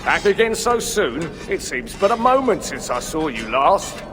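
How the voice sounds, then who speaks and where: A man's voice: a little high, sing-song, normal speed, over music. A man playing a hearty, dreamy dwarf blacksmith scholar in a video game, savouring his words theatrically. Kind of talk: video game cutscene acting. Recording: long cavern reverb, noisy ambience.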